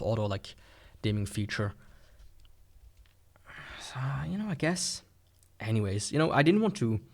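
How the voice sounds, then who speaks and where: A man giving a casual, unscripted product review in a rambling, informal, skeptical way. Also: on the low side. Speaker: gadget reviewer.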